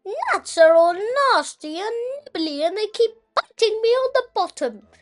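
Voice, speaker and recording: Young boy voicing a high, indignant grumpy cat. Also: very animated, unhurried, heavily acted. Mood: complaining. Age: child, 8 years old. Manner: indignant, emphatic. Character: grumpy, put-upon complaining cat.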